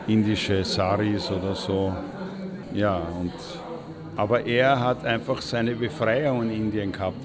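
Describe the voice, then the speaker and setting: A man playing an acquaintance who recounts anecdotes in a casual, hesitant, conversational way for an audio drama. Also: fairly low pitch. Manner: conversational, hesitant, searching for words.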